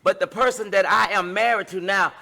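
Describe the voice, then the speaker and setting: A woman's voice: medium pitch, fairly quick, pushed and bright. A middle-aged woman hosting a relationship advice talk, speaking emphatically in a repetitive, sermon-like cadence. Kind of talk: preaching-style advice talk.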